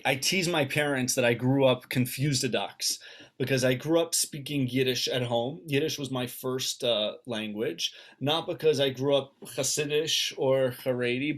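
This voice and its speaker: A man's voice: mid-range voice, fairly bright tone. An American man, around his thirties, gives an informal, personal talk over a video call. He speaks as a rabbi and author, feeling his way through sentences and often doubling back to repeat or restart a phrase. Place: indoors at home on a video call.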